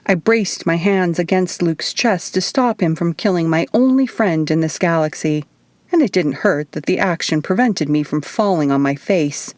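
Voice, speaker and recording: A woman reads a first-person novel aloud in audiobook style. Her voice sits slightly low for a woman, and the sound is dry with very little room. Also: moderate pace, darkish tone, no echo. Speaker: author reading her audiobook.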